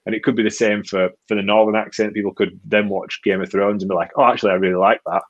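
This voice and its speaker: Young English male co-host, quick and rambling, low voice, muffled lo-fi audio. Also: little pitch movement. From England. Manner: fast, rambling storyteller. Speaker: podcast co-host. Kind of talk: casual podcast chat.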